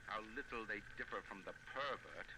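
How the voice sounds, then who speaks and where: Noisy recording of pompous actor as ideologue. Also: male voice, on the low side, expressive, a little fast, natural voice. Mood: scornful. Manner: oratorical, pompous, disdainful. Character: contemptuous, grandiose male ideologue preaching against parasites. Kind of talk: dramatic video game recorded speech. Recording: noisy, distant old radio-like recording.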